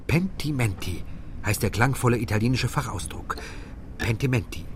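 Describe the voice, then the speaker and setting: An actor playing an earnest male interpreter translating a lecture in a radio play, measured and explanatory. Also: mid-range voice, animated, a little hiss or hum, natural voice. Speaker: interpreter voice-over.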